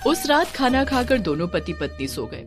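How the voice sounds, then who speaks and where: A woman's voice: fairly high pitch, sing-song, normal speed, over music. A woman narrating a scripted children's story.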